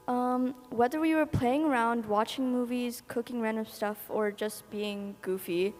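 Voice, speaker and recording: A teenage girl giving a shy, giggly, halting speech about her older sister. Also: on the high side, steady tone, darkish tone. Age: teens. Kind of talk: nervous tribute speech. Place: event hall. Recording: long hall reverb.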